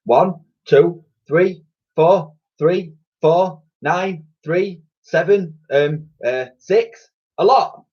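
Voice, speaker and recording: A man's voice: high-pitched, drawn-out words, a little mellow, husky, muffled recording, voice pushed up, exaggerated voice. A man voicing a muddled old woman who cannot quite count.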